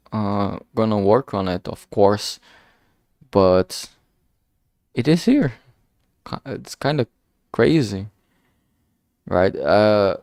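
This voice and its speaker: A man talking casually and reflectively on a solo stream, halting often as he searches for words. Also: on the low side, a little mellow, no room sound, studio quality. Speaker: indie game developer streaming.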